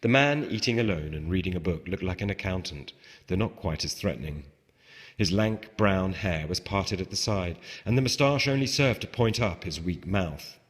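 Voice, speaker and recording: A man's voice: low voice, animated, normal speed, husky. A man reading a novel aloud as its author, in a scripted literary narration style, recorded in a reverberant space. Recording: reverberant hall with long echo.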